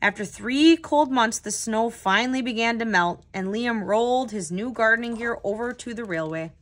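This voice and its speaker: A woman hosting a children's read-aloud as a tutor, telling the story with clear, expressive phrasing. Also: on the high side, normal speed.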